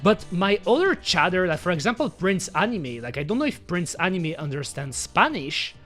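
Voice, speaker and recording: A VTuber host chatting live with the audience, voice swooping up and down with lively pitch. The talk rambles and loops back, full of "uh" and "like", over faint music playing underneath. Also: normal speed. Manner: rambling, loses thread, fillers like "uh" and "like". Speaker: VTuber streamer. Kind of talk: casual live-stream chat with viewers.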